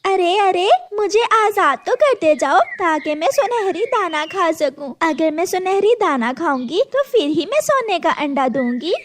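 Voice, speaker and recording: A woman's voice: very high-pitched, expressive, a little slow, few pauses, slight background noise, voice pushed up. A woman voicing a small mother sparrow in a children's cartoon, sweet and pleading but clever. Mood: coaxing.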